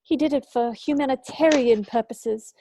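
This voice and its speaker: A woman at a script table read playing a bitter, grieving woman scientist, speaking gravely and deliberately. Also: high-pitched, dark tone, slight background noise. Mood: bitter, accusing.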